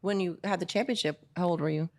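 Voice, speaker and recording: A young adult woman with a somewhat low voice hosts a casual interview. She talks in a chatty, enthusiastic way and keeps prompting her guest with eager questions. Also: quick pace. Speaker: host.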